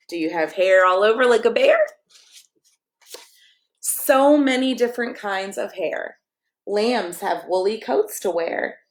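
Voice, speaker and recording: A middle-aged American woman, a pastor, reading to children in a playful, sing-song way and chatting directly with them. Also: mid-range voice. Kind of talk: children's story time, expressive read-aloud and casual chat.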